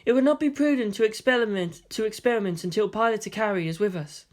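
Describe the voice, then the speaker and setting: A composed teenage girl pilot, voiced by a narrator in a story reading. She speaks formally and carefully, in measured, literal sentences. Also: medium pitch, voice pushed up, natural voice. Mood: calm.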